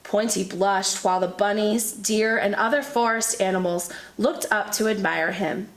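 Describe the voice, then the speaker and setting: A woman teacher reading a children's picture book aloud in an expressive storytelling manner. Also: medium pitch, normal speed. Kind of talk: children's read-aloud narration. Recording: echoey room.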